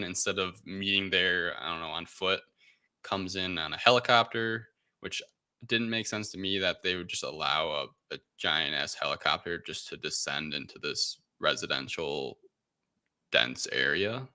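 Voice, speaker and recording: A man's voice: low voice, sharp tone, clear, resonant tone. A man co-hosting a casual film discussion, recapping in a rambling, offhand and irreverent way. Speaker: podcast co-host recapping a film.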